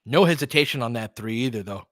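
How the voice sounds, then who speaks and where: A man's voice: mid-range voice, lively intonation, fast talker, no echo, clean studio sound. A man giving live basketball play-by-play and casual analysis as a candid, colloquial fan commentator who reacts openly and swears.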